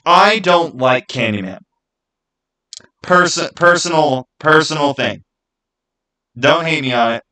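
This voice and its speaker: A man hosting a livestream, chatting casually and answering viewers in an informal, opinionated, halting way that often repeats itself. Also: fairly high pitch, expressive, bright, forceful tone. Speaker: livestream host.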